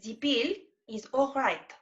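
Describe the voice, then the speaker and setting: A woman's voice: a little high, takes it slowly, fairly bright tone. A woman playing a deferential, fussy waiter in a Victorian farce reading, polite but insistent, over lo-fi remote audio. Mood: servile. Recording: dull, lo-fi remote call audio.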